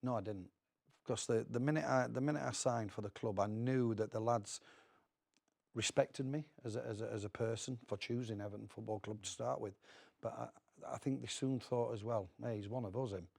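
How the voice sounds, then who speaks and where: A man's voice: mid-range voice, moderate pace, creaky, a little mellow, slightly rough. A middle-aged man with a Northern English accent, a former footballer reminiscing casually as a guest and often repeating words as he goes.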